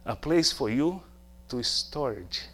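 Slow-paced Brazilian man preaching a sermon. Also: medium pitch, expressive, fairly bright tone. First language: Portuguese. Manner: emphatic, with short phrases and rhetorical questions.

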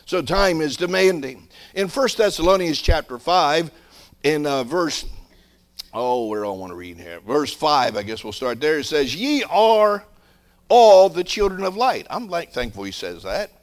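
A man in his sixties preaching a sermon, swelling to emphatic peaks and dropping to quiet asides, often repeating words as he builds a point. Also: fairly high pitch, very animated, measured pace. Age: 60s. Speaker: pastor.

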